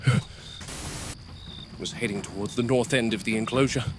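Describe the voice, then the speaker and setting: A man's voice: mid-range voice, unhurried, noisy background, close to an everyday voice. A man acting as a dogged game warden in a found-footage horror log, muttering urgently to himself and swearing as he tracks an escaped animal. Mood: intent, determined.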